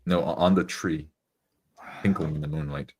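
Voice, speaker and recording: A man playing an earnest, devout adventurer in a tabletop game, speaking politely and haltingly with a solemn air. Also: extremely low pitch, steady tone, darkish tone, a little hiss or hum. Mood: polite, curious. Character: earnest, devout male adventurer reading omens.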